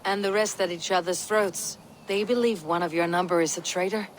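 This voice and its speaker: An actress playing a steely young Viking warrior woman in a video game, speaking in measured, formal, old-fashioned phrases. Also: lowish voice, fairly even intonation, a little hiss or hum, natural voice. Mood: resolute. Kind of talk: video game cutscene acting.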